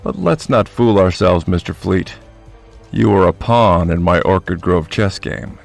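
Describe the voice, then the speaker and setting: Smug crime boss dad, low creaky voice, polite but threatening, faint music behind. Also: male voice, animated, heavily acted. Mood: smug, controlled. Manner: measured, formal, veiled menace. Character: smug, controlling small-town crime patriarch. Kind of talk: radio drama acting.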